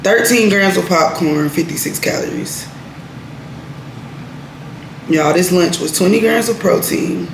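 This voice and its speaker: Young woman, casual vlog chatter, laid-back, roomy echo, clear background noise. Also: on the low side, measured pace, long pauses. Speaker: vlogger. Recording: echoey room with noise.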